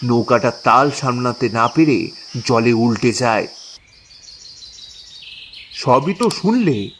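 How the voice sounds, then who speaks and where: A man playing a greedy, sly and cowardly village boatman in a horror audio story, repeating words haltingly. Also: medium pitch, expressive, fairly bright tone. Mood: uneasy recounting. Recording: clear noise with chirping crickets.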